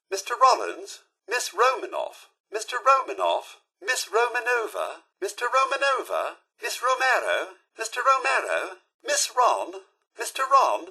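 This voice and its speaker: A courteous British robot butler for a video game. His delivery is prim and formal, swinging wildly in pitch at an unhurried pace. The tone is sharp and gravelly, with every word carefully enunciated. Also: exaggerated voice. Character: polite, prim robot butler, male-voiced, eager to serve. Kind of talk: video game voice lines, names called out formally.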